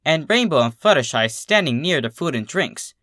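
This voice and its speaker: An expressive storyteller reads scripted fiction in a bright, forward voice. The pitch moves around in a lively way, and the sound is dry and close. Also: moderate pace, no echo. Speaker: fan fiction reader.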